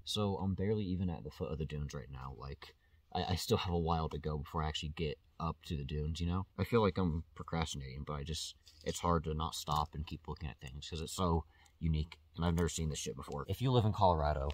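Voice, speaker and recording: A young man from Tennessee talking to his camera outdoors in a chatty, excitable way, laughing and restarting often. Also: extremely low pitch, fast. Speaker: travel vlogger. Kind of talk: casual solo travel vlog. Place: outdoors at a campsite and on sand dunes.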